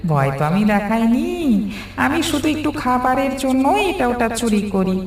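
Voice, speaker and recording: A woman's voice: fairly high pitch, big pitch swings, moderate pace. A woman voicing a hungry, complaining village ghost who pilfers food, in a cartoon ghost story. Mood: plaintive, explaining. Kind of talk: animated ghost story dialogue. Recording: echoey, distant, with music.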